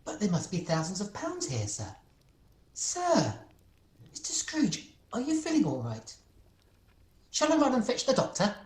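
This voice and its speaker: A woman's voice: mid-range voice, wildly swooping pitch, a little slow, long pauses, darkish tone. A woman playing a meek, anxious male clerk in an amateur radio play, deferential, stammering and flustered. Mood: bewildered. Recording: roomy, echoing home recording.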